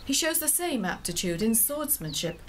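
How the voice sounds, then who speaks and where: A woman narrator telling a scripted story in a measured, poetic storytelling manner. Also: on the high side, very animated, a little hiss or hum.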